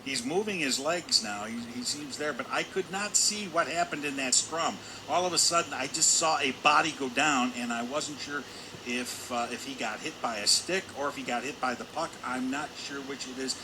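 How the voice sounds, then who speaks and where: A man's voice: medium pitch, moderate pace. A man giving conversational color commentary at a live hockey game, wordy and repeating himself for emphasis. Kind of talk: live sports analysis, conversational. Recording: constant loud arena background noise. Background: hockey arena ambience.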